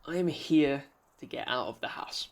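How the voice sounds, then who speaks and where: Guy acting a lonely reflective young man in a radio drama, gravelly creaky voice, poetic and a bit halting. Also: fairly high pitch, lightly acted. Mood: wistful. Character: pensive solitary young man musing on a bridge.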